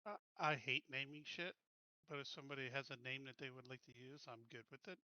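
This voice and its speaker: A man's voice: mid-range voice, quick pace, bright, forceful tone, dry recording, dull audio. A man playing a tabletop game, chatting casually in a blunt, joking way with some swearing. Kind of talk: casual banter.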